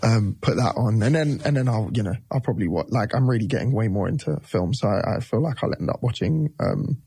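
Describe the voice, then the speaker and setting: A man's voice: on the low side, animated, a little fast, mellow, husky, dry recording. A man in his thirties, a musician guest, talking casually in an interview, loose and rambling with many restarts.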